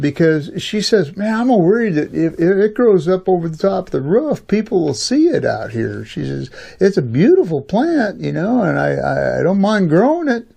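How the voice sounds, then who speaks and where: Male, middle-aged, American, gravelly and creaky, slightly high-pitched; casual folksy storyteller with an informal, halting delivery. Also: dark tone. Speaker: YouTube storyteller and channel host. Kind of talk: casual rambling personal storytelling.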